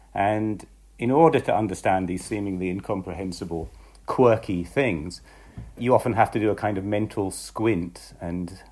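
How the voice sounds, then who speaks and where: A man being interviewed as a magazine writer, answering reflectively and with amusement, pausing on ums. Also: deep voice, a little mellow, husky.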